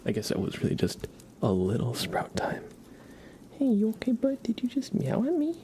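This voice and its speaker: An ASMR livestream host who whispers and talks softly in a gentle, affectionate way, close to the microphone. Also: mid-range voice, wildly swooping pitch, normal speed, a little hiss or hum. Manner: gentle, affectionate cooing at a pet. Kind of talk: live ASMR, whispered and soft-spoken greetings and chat. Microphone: close sensitive microphone.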